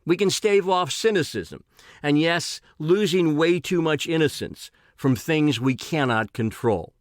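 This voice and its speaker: A man's voice: a little high, measured pace, no room sound, studio quality. A man reading his own personal essay in an earnest, emphatic way.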